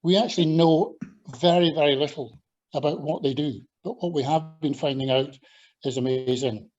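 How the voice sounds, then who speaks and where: A man's voice: fairly high pitch, darkish tone, dull audio. Older Scottish man giving a talk. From Scotland. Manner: measured, thoughtful, with frequent ums and restarts. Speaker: church talk speaker, former agriculture lecturer. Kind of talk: presenting a talk with slides.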